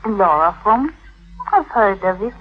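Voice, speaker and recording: Slow, coaxing young wife. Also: female voice, medium pitch, sing-song. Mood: conspiratorial. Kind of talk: Golden Age radio acting. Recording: dull old radio recording.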